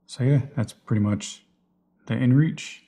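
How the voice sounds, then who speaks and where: A man's voice: medium pitch, measured pace, warm tone. A man giving a casual, unscripted walkthrough of his gear in a plain, explanatory way, like a vlogger. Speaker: vlogger presenting personal radio gear.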